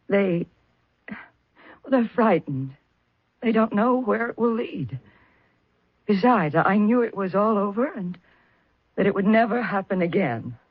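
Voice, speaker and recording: Stammering defensive widow. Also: female voice, mid-range voice, husky, dry recording. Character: proud widowed wife, defensive. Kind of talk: 1970s radio drama acting. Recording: muffled dry old radio broadcast.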